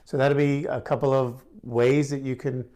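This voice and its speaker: A man's voice: mid-range voice, level tone, moderate pace, dark tone. A middle-aged man hosting a casual question-and-answer lesson on gemstones, friendly and chatty with frequent fillers and restarts. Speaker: gemstone instructor and host. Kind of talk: casual Q&A to camera.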